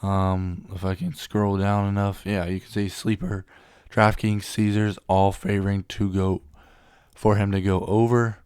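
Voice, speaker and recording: A man's voice: fairly low pitch, little pitch movement, measured pace, a little mellow, clean tone, no echo, studio quality. A young man getting over a cold, casually running through sports betting picks and reading stats off the screen with a few ums.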